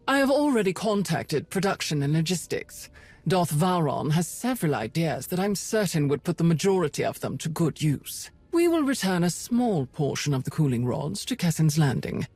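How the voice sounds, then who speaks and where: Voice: medium pitch, a little mellow, no room sound. An actor playing a coolly superior imperial Sith schemer in a video game, speaking formally and precisely. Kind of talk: video game dialogue acting. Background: music.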